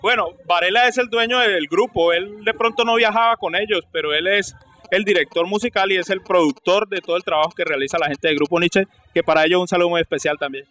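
A man's voice: high-pitched, quick pace, bright, forceful tone. A middle-aged man with a Colombian accent, a salsa singer answering casually in an interview on a handheld mic. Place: indoor room at a nightclub event. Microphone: handheld interview microphone. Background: faint music.

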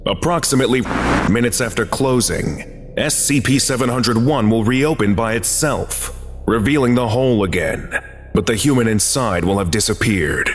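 A man narrating a scripted archive briefing in a formal, measured, clinical manner. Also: mid-range voice. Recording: long reverb, music behind. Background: music.

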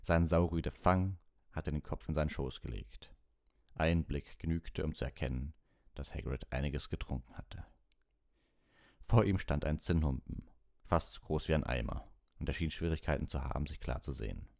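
A man with a gravelly, very deep voice reading a story as an audiobook narrator. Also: normal speed, a little mellow, no echo, clean studio sound.

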